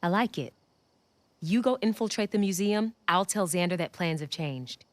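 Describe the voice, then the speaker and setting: A woman's voice: lowish voice, expressive, normal speed, no room sound, lightly acted. A woman playing a confident, spirited young adventurer in a video game, speaking with assurance. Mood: sly. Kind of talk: video game cutscene voice acting.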